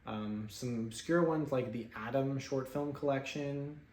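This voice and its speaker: A man casually talking through his film-watching stats with an offhand, relaxed manner and plenty of fillers, recording at home in his apartment. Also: medium pitch, unhurried, roomy sound, a little hiss or hum. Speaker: film vlogger. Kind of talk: casual screen-share commentary.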